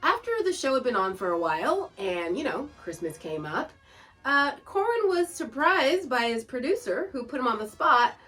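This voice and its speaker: A woman's voice: high voice, sing-song, moderate pace, fairly bright tone, recorded from a distance, faint music behind. A woman hosting a podcast, casually explaining and introducing shows in an informative way. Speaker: podcast host.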